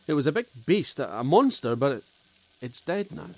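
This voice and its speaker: Scared crewman guy, a man acting him, husky voice, stammers, pitch jumps all over. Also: very animated, darkish tone, no room sound. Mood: anxious. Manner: anxious, halting, disbelieving. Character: frightened male crew member waking from stasis. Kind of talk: audio drama acting.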